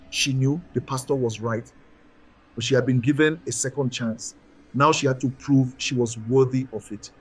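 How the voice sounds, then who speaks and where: Male storyteller, husky, solemn and deliberate, for dramatic scripted folktale narration. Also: medium pitch, poor audio.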